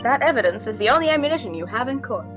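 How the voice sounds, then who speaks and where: A woman's voice: fairly high pitch, lively intonation, racing, natural voice. A woman voicing a composed senior defense lawyer mentor in a video game read-through, with music behind her. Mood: instructive. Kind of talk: voiced visual novel read-through on a game stream.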